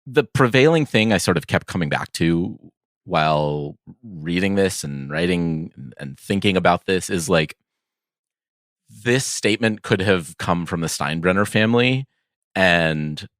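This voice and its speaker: Low-voiced man with lively, swooping intonation. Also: dry recording, studio quality. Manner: halting, rambling, thinks aloud. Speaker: podcast co-host. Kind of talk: casual podcast banter.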